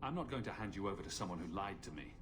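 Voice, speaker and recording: An actor playing a wary, plain-spoken champion in a fantasy video game, speaking in a matter-of-fact, skeptical way. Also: male voice, sits low, lively intonation, rapid-fire, noisy background. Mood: skeptical, dry. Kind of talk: video game character acting.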